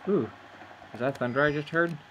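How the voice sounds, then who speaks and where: A man's voice: a little high, normal speed, noisy background. A man giving casual, rambling commentary over his own gameplay, amused at himself and losing his train of thought. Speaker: gameplay narrator.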